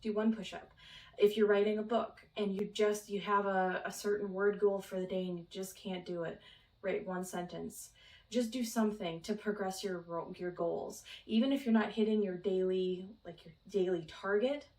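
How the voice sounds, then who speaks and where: A woman's voice: medium pitch, steady tone, moderate pace, darkish tone, distant mic. A young woman from Minnesota chats about her own life in an easygoing vlog. She rambles, fills gaps with ums and laughs lightly now and then. Her voice sits back from the mic, with a roomy ring around it. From Minnesota, United States. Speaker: vlogger. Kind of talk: casual chat.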